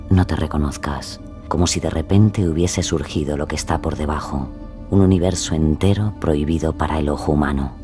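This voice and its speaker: A man delivering scripted, atmospheric narration. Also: extremely low pitch.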